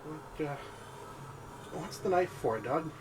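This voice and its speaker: Nervous, high-voiced young man, very animated. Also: takes it slowly. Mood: frightened, placating. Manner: hesitant, stammering, pleading. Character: nervous, placating young male podcaster, the threatened roommate. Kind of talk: horror audio drama acting. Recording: clearly noisy track with ambience.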